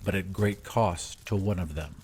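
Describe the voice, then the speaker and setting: A man's voice: deep voice, moderate pace, darkish tone. A man delivering scripted story narration as the recap narrator of a fantasy tale. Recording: faint ambient noise behind narration.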